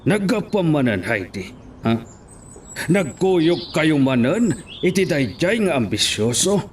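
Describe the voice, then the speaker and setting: A man's voice: a little high, big pitch swings, slow pace, noisy background. A man with an Ilocano accent playing a stern, controlling middle-aged mayor and father in a radio drama. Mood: stern, suspicious.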